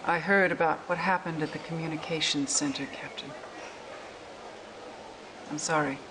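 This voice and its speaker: A woman of the resistance in a game cutscene, played by an actress, speaks in a fairly low voice. She is gentle and discreetly wary, with faint noise behind her. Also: fairly even intonation, normal speed, lightly acted. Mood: sympathetic, wary. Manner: gentle, confiding. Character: sympathetic, discreet female resistance member, quietly suspicious. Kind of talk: video game cutscene acting.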